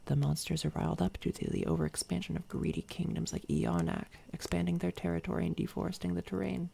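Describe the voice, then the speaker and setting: Smug evil-twin villainess, played by a woman, ASMR roleplay: soft, whispery, taunting, dark-edged brightness kept low, heavy vocal fry. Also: little pitch movement, a little hiss or hum. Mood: scornful. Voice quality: soft, breathy, often slipping into whisper. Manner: taunting, condescending, unhurried. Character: smug, menacing villainess doppelganger from another timeline. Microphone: close binaural microphone.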